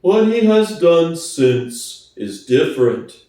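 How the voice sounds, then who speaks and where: A man voicing an earnest, slow-witted, loyal big workhorse in a storybook reading, with a laboured, stolid delivery. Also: on the high side, very animated, dark tone. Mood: uneasy, firm. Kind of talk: dramatic audiobook reading. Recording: echoey room, distant from mic.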